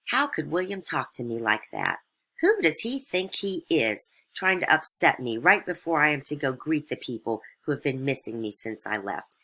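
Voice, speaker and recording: A woman's voice: low voice, very animated, normal speed, sharp tone, exaggerated voice. A woman voicing a vain, scheming, condescending duchess in a storybook tale. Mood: indignant. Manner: haughty, condescending, self-absorbed.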